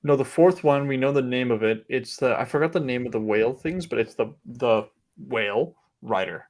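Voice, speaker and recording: A man's voice: medium pitch, moderate pace, a little mellow. A man co-hosting a podcast, casually discussing films in loose, hesitant conversational phrasing. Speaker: podcast co-host. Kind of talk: casual film discussion, chat.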